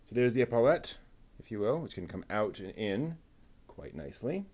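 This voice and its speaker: A man quietly talking himself through assembling a plastic model kit, half-mumbling as he works. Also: lowish voice, big pitch swings, slightly rough. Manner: mumbling to himself while working, unhurried. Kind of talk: muttered build commentary.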